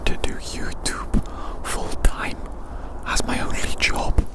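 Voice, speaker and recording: An ASMR YouTuber, whispering a rambling monologue in a breathy, unvoiced voice and softly repeating phrases. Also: takes it slowly. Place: outdoors in winter woods.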